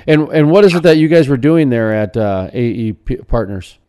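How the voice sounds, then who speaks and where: A man's voice: mid-range voice, fairly even intonation, moderate pace, mellow, clear, resonant tone. A man hosting a radio interview, asking casual, joking questions. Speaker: radio show host.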